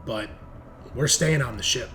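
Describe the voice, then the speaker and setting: A man's voice: mid-range voice, a little slow, music in the background, close to an everyday voice. A man playing a blunt, cynical hardened criminal leader in a tabletop roleplaying game. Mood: grudging, firm. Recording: noticeable background noise.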